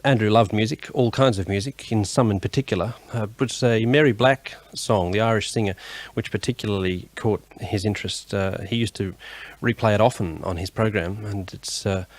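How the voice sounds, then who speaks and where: Aussie male radio host, lowish voice, informal but measured, presenting a tribute show. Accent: Australian. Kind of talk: live tribute programme presenting.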